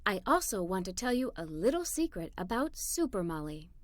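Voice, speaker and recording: Woman as a soft, lowered, loving storybook mom. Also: very animated, normal speed, no echo, natural voice. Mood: tender. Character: gentle, loving, reassuring mother of a little girl.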